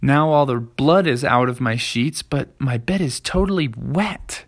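A storyteller voicing a clumsy, excitable boy who frets aloud. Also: mid-range voice, a little slow. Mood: excited.

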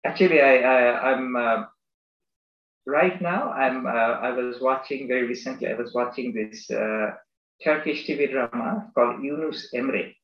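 Bangladeshi-born guy, stops and restarts a lot, roomy dull-sounding recording. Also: mid-range voice. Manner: thoughtful, halting, many restarts and fillers. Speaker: business school academic. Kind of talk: conversational interview.

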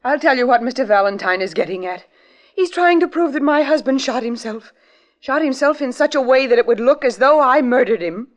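An actress playing a distraught, pleading wife under suspicion in an old-time radio mystery. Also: female voice, sits high, expressive, fairly quick. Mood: shocked. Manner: dramatic, pleading. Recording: dull, lo-fi old radio recording.

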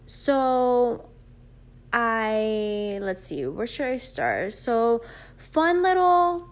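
Young woman host from Greece, twenties, chatty solo podcast talk with fillers, slightly high voice. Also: sing-song, drawn-out words. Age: 20s. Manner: chatty, rambling, many fillers. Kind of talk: casual solo podcast chat.

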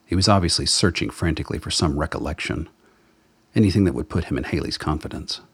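A man's voice: fast, no room sound. A man delivering scripted narration for a radio drama as its storyteller. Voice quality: very deep, husky.

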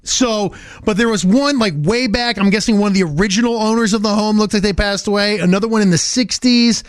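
A man co-hosting a radio show in a studio, chatting informally and explaining things step by step with lively banter. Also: sits high, a little fast, no room sound.

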